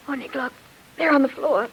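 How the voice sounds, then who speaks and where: A woman's voice: sits high, a bit bright, exaggerated voice. An actress playing a curious, earnest woman, a detective's eager assistant, in an old-time radio drama. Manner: questioning, earnest. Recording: noisy old radio recording.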